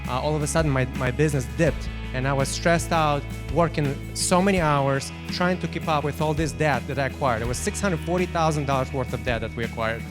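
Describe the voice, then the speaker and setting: Ukrainian-accented man telling his story over guitar. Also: fairly high pitch. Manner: halting, many fillers and restarts. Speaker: testimonial guest. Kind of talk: personal success testimony. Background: guitar music underneath.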